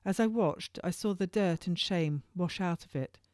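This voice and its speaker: A woman's voice: on the low side, normal speed, darkish tone, no echo, studio quality. A woman author reading her own historical novel as first-person narration, in an informal read-aloud way with occasional stumbles.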